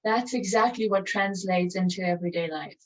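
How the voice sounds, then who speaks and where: Female meditation teacher, calm and measured, unhurried phrases with long pauses, mid-range pitch, recorded at a distance with dulled sound. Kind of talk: guided meditation, spoken slowly with long pauses. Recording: distant mic, dull muffled sound.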